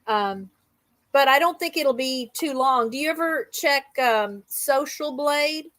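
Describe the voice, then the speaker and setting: A woman's voice: sits high, animated, a little slow, fairly bright tone, no room sound. A woman hosting a casual livestream interview, putting questions to her guest in a conversational way.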